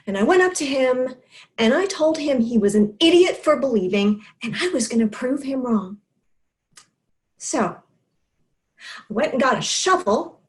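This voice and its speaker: An actress playing a grieving woman in recovery from alcoholism, delivering a confessional stage monologue in a halting, emotional way. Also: a little high. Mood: angry, defiant. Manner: halting, emotional delivery with pauses and repeated words. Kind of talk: dramatic stage monologue, first-person confession.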